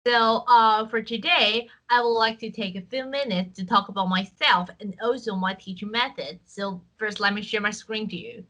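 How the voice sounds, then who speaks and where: A woman from Taiwan, a native Chinese speaker with a Taiwanese accent, teaching an online Chinese lesson in clear, patient English with frequent fillers. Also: mid-range voice, steady tone, moderate pace, few pauses, bright, forceful tone, rough recording. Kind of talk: online language lesson with screen share.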